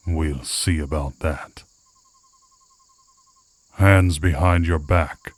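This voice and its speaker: A man playing a gruff, predatory werewolf scout in an ASMR roleplay, with a threatening, taunting delivery. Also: very deep voice, no echo, heavily acted. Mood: threatening, commanding.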